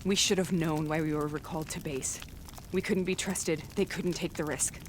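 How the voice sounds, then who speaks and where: An actress playing a hardened, grimly resolute soldier in a video game, speaking in a grave, controlled way. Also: female voice, low voice, fairly even intonation, normal speed, close to an everyday voice. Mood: grim, defiant. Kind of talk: dramatic game narration. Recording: loud noise behind the voice.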